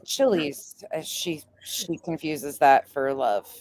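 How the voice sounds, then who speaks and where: A woman's voice: deep voice, lively intonation, slow, a little mellow. A woman giving casual reaction commentary in a blunt, sarcastic, crude-talking way. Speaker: online show commentator.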